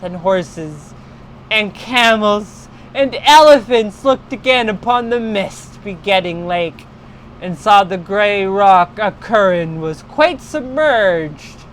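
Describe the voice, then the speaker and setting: A woman narrating a horror story in a theatrical, deliberate way, swelling in intensity as a livestream storyteller. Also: mid-range voice, expressive, slight background noise. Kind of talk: dramatic horror story reading.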